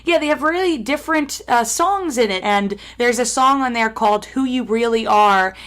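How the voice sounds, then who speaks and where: Woman host asking questions, slightly high voice, admiring and eager. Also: normal speed, fairly bright tone. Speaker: talk show host. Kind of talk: interview questions.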